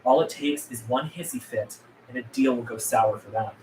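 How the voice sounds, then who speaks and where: A man's voice: medium pitch, normal speed, a little mellow, lightly acted. Man acting a blunt rebel leader, echoey room. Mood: bitter warning. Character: defiant, world-weary male rebel leader, swearing and blunt. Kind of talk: script table read. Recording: echoey room with background noise.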